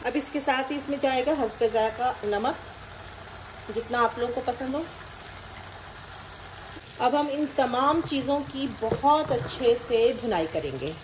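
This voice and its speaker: Woman, cooking host, friendly step-by-step voice-over, fairly high voice, kitchen noise and sizzling underneath. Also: expressive, unhurried, pauses often. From Pakistan. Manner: friendly, explains each step plainly. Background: frying and sizzling.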